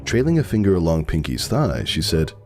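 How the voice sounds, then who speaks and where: A man's voice: low-pitched, wildly swooping pitch, brisk, darkish tone, soft background music. A man giving a scripted dramatic reading of a story as its narrator.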